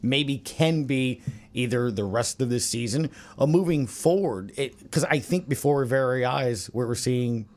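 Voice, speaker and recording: A man's voice: mid-range voice, moderate pace, darkish tone. An American man hosting a sports podcast, chatting casually and thinking aloud in a loose, rambling way. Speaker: podcast host.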